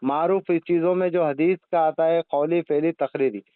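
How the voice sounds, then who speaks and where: A man with a fairly high, clear voice argues insistently in a heated religious debate. He pushes his points and talks over his opponent. The sound is a dry, thin phone call recording. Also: fairly even intonation, moderate pace, dry recording. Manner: argumentative, insistent, interrupting.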